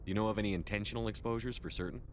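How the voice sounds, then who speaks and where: Actor as brisk, steady space commander. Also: male voice, lowish voice, slight background noise, natural voice. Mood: curious, calm. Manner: direct, composed, inquiring. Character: steady, approachable male military commander in space. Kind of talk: video game dialogue acting.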